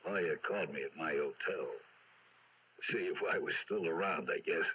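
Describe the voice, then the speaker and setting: An actor playing a greedy, slangy American ex-con blackmailer in an old radio crime drama, laconic and drawling. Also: male voice, very deep voice, moderate pace, slight background noise. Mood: sly, unhurried. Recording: muffled vintage radio drama recording.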